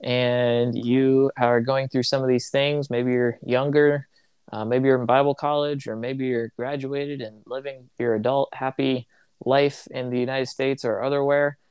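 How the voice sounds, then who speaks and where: Young man, podcast host, rambling chat, grew up in Tanzania, dry close-miked sound, middle pitch. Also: little pitch movement, a little slow, darkish tone, clear, resonant tone, dry recording. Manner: rambling, acts out conversations.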